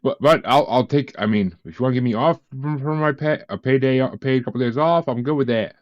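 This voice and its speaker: A man's voice: mid-range voice, brisk, no room sound. A man hosting a podcast in a casual chat, joking, laughing and swearing freely. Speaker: podcast host. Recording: dull, lo-fi, dry sound.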